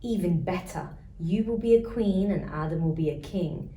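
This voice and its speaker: A woman voicing a kindly, reassuring grandmother in a children's storybook, with a gentle storytelling manner. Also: fairly low pitch, normal speed, lightly acted. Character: kindly, reassuring grandmother telling twins about paradise. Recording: roomy echo, faint background noise.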